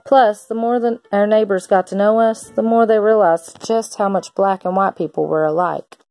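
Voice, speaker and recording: A woman's voice: medium pitch, fairly even intonation, moderate pace, darkish tone, no echo. A woman reading a children's picture book aloud like a teacher, expressively acting out the characters' lines.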